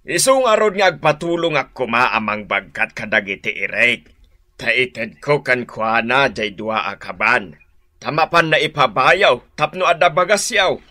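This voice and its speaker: A man's voice: mid-range voice, lively intonation, measured pace, pushed and bright. A man playing a blunt, grumbling older father-in-law in a comedy radio drama. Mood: indignant.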